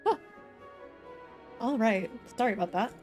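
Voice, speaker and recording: A woman's voice: on the high side, animated, unhurried, darkish tone. A woman in her twenties talks casually to her live stream. She chats as she plays a game, with music running behind her voice. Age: 20s. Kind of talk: casual gaming stream chat.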